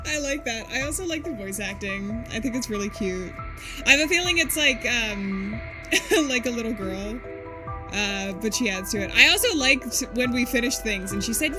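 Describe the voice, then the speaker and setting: A woman with a fairly high voice chats through a live game stream, laughing often and calling out loudly at surprises. Game music plays clearly under her voice. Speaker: streamer playing games.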